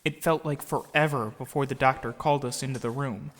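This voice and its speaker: A man narrating childhood memories in an audio drama, reflective and measured, as a scripted first-person storyteller. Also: medium pitch, steady tone, fairly bright tone, natural voice. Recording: long reverb, faint music behind.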